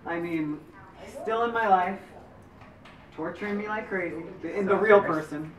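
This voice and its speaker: A man's voice: sits high, normal speed, a crowd in the background. Chatty man answering questions far off. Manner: rambling, full of fillers and restarts. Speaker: memoir author and lead actor. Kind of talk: post-screening Q&A answers. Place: community center screening room. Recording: echoey room from far off with background noise.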